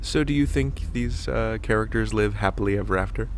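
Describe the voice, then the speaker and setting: A man hosting a podcast, speaking in a playful, theatrical announcer's way with deliberate pauses. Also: fairly low pitch, slight background noise. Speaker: podcast host. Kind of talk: scripted podcast narration and interview.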